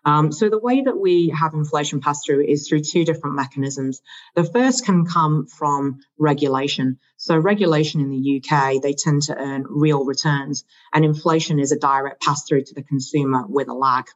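An investment analyst guest explaining infrastructure investing, often pausing with "you know" fillers. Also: mid-range voice, dull audio. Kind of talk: conversational explanation.

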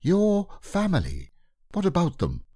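A man voicing a slow, humble, simple-minded male bear who speaks in a mild, earnest way in an audiobook reading. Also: high-pitched, huge pitch swings, mellow, breathy edge, dry recording, clean studio sound, pitched above the performer's own voice, heavily acted.

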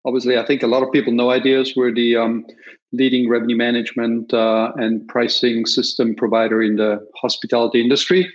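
Austrian-accented man, slightly muffled recording. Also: mid-range voice. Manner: measured, optimistic, with frequent ums. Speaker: podcast host and industry guest. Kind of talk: conversational interview answers. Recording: slightly dull lo-fi audio.

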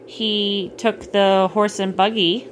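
A middle-aged woman chatting casually with a child at bedtime, gently explaining things and checking in with questions. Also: medium pitch, flat delivery, slow pace. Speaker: parent hosting a bedtime story podcast. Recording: clearly noisy home recording.

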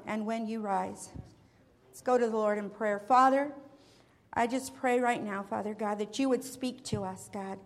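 High-voiced woman preaching a sermon. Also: fairly bright tone. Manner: earnest, measured preaching with repeated invocations. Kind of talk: sermon with prayer. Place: church sanctuary.

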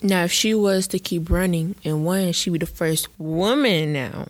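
A woman's voice: fairly low pitch, a little slow, no room sound. Teen girl reading news, unsure. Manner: unsure, searches for words. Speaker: student news reader. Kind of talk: casual discussion.

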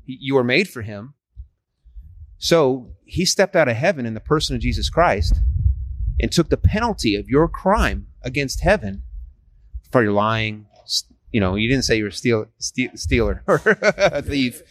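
A man's voice: mid-range voice, lively intonation, normal speed, poor audio. A man in his forties sharing his faith with a stranger in an earnest, persuasive casual conversation. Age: 40s. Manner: earnest and persuasive, frequent restarts. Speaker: street evangelist.

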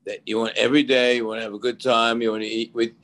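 A man's voice: mid-range voice, little pitch movement, fast, fairly bright tone. A man in his seventies giving casual advice on a talk show in a rambling, folksy way. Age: 70s. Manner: rambling, folksy, repeats "you know". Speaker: expert co-host.

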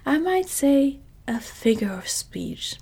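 A woman softly whispering the lines of an earnest, simple-minded wooden man with a pumpkin head in a storybook reading. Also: high voice, very animated, slow pace, gravelly, heavily acted.

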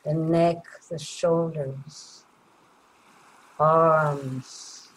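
A woman leading a guided meditation in a gentle, soothing way, leaving long pauses between short phrases. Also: deep voice, steady tone, breathy edge, rough recording.

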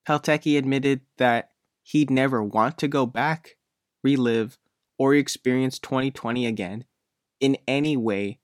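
A man's voice: mid-range voice, measured pace, no echo, studio quality. A man working as a reporter, reading a scripted news feature narration.

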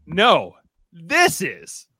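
A man acts out a stern, exasperated superhero leader in radio theatre. He is high and sharp in tone, with slow, commanding delivery that swings in pitch. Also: wildly swooping pitch, husky, no room sound, clean studio sound, full character voice. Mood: curt, smug. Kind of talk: live radio theatre read.

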